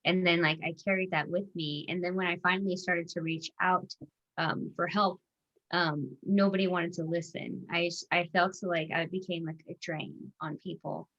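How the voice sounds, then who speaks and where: A woman life coach speaking as a guest on a panel, soft-spoken and reflective, pausing often with "um" and "like.". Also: lowish voice, fairly even intonation. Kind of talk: casual panel chat. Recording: dull lo-fi audio.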